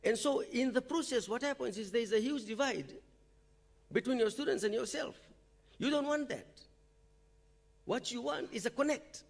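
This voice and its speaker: A man from Fiji gives a talk on teaching at a university forum. His voice sits high and carries a gravelly rasp. He keeps it conversational, pausing often to ask the audience "okay?". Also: animated. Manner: conversational, frequent "okay?" check-ins. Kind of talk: conference talk. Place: lecture hall or forum room.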